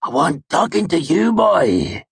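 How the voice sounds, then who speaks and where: A man voicing a big, bearded, mocking male mercenary with a thick northern accent, loud and swaggering in a storybook reading. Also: high-pitched, big pitch swings, normal speed, vocal fry, breathy edge, dry recording, voice pushed up, heavily acted.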